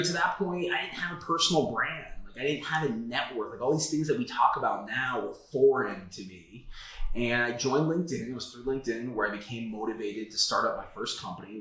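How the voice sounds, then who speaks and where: A man's voice: medium pitch, brisk, fairly bright tone. A man in his thirties from South Florida, a guest telling his career story in a casual interview, candid and full of "like" and "uh". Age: 30s. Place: office. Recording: echoey room.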